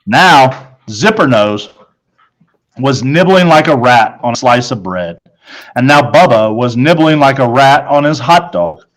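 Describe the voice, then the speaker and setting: A man reads a children's story aloud as its narrator. His voice is mid-pitched, and he takes the scripted first-person telling slowly and deliberately. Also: animated, rough recording.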